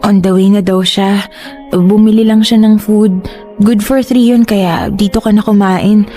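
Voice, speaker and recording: A woman's voice: medium pitch, fairly even intonation, unhurried, mellow, close to an everyday voice. A woman with a Filipino accent playing a young, teasing and sulky youngest sister in a radio drama, nagging and wheedling. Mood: coaxing, teasing. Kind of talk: Tagalog radio drama acting. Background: music.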